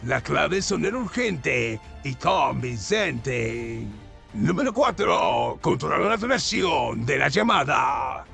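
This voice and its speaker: A man's voice: on the high side, vocal fry, pushed and bright. A man reading a scripted mock survival guide in an emphatic, sarcastic, mock-dramatic way. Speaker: narrator of a comedy survival-tips show.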